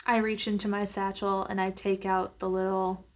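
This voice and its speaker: A woman's voice: mid-range voice, flat delivery, normal speed. A woman playing a tabletop roleplaying game, chatting casually and describing what her character does.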